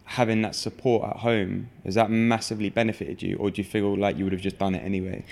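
A man's voice: fairly low pitch, level tone, quick pace, creaky, darkish tone. A man hosting an interview, asking long rambling questions full of restarts. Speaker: podcast host. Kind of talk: casual interview chat.

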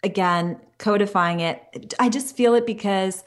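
A woman's voice: medium pitch, a little slow. A young woman, a career coach who is expecting a child, talking earnestly and openly as a guest in an interview, in long flowing runs with small restarts. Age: young adult. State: pregnant. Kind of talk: interview conversation.

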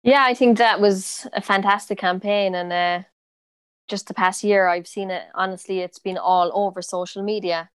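A young Irish woman speaking with a strong Cork accent, chatting easily through an interview and often pausing on "um" and "you know". Her voice is smooth and clear, with a fairly flat, even pitch. The sound is dry and close, with no room around it. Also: dry recording. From Ireland. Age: 20s. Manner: relaxed, many fillers and restarts. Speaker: interviewee, footballer. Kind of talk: casual interview.

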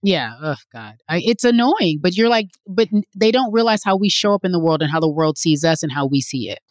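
A woman's voice: lowish voice, expressive, fairly quick, no echo, clean studio sound. A woman hosting a casual interview who talks in an enthusiastic, affirming way and laughs often. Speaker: interview host.

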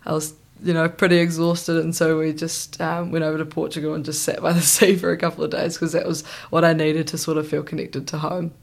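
A young woman with a New Zealand accent chats through her story as a podcast guest. Her voice has a gravelly fry and stays fairly level in pitch. She rambles a little, with plenty of ums and restarts. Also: flat delivery, brisk, few pauses. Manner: chatty, many ums and restarts. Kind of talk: casual interview chat.

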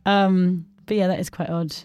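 A woman with a low voice that turns slightly gravelly, chatting as a podcast co-host. She is wry and self-mocking and laughs easily, and the recording is dry and close. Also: little pitch movement, moderate pace, dark tone, no room sound, dull audio. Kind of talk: casual chat. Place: podcast room.

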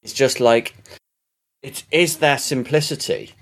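A man chatting casually on a podcast, rambling with many restarts and swearing now and then as he gets excited. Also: medium pitch, unhurried. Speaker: podcast co-host. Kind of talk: casual podcast chat about games.

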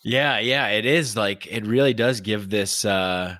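A man hosting a podcast speaks in a lowish voice, recorded close and dry with no room echo. He talks haltingly, repeating words as he searches for what he wants to say. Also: sharp tone. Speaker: podcast host. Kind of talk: casual podcast conversation.

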